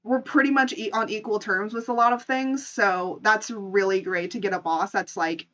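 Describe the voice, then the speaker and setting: A woman's voice: medium pitch, steady tone, moderate pace, bright, forceful tone. Casual woman streamer, slightly dull audio. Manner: informal, chatty, loosely improvised. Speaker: streamer telling a story while drawing. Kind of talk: casual livestream storytelling and chat.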